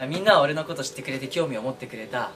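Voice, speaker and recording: A man speaking as a streamer and voice actor, chatting casually and earnestly to his fans in an intimate, pausing way. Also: medium pitch, expressive, fairly bright tone, faint music behind. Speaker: voice actor and streamer addressing listeners. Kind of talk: casual, heartfelt chat to fans. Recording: distant mic, clearly noisy background.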